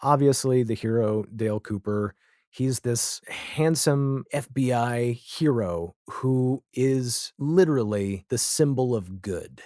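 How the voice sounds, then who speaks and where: A man's voice: medium pitch, slow pace, darkish tone, no room sound. Emphatic man as a podcast guest, close and dry. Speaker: podcast guest, audio drama creator. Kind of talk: casual enthusiastic interview talk.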